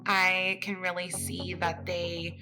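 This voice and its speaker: A woman's voice: fairly low pitch, completely flat delivery, slow pace. A woman in her twenties, speaking thoughtfully and upbeat in an interview. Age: 20s. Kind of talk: reflective interview. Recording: music clearly underneath.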